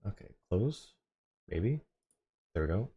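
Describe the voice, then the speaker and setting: A man's voice: lowish voice, big pitch swings, slow, husky, narrow, tinny audio. A man hosting a casual livestream, talking in a friendly, chatty way to his viewers. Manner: friendly, chatty, thanks and greets viewers by turns. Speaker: live streamer, host.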